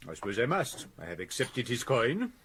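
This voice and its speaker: Pompous British Victorian thespian, noisy ambience. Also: male voice, medium pitch, huge pitch swings, moderate pace, a bit bright. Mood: brisk. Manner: theatrical, pompous, world-weary. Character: grandiose, self-pitying Victorian stage actor and reluctant sleuth. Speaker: actor. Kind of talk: period radio drama acting.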